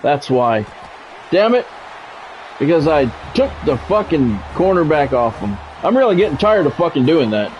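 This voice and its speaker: A man's voice: on the high side, big pitch swings, moderate pace, a little mellow, dull audio, a little hiss or hum. A man doing live play-by-play of a football video game, mixed with blunt, salty, conversational asides. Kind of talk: live sports play-by-play commentary mixed with casual chat.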